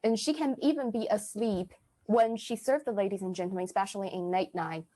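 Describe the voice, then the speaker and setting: A woman answering as a podcast guest, explaining deliberately and searching for words as she goes. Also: mid-range voice, mellow, slight background noise. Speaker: podcast guest, amateur actor.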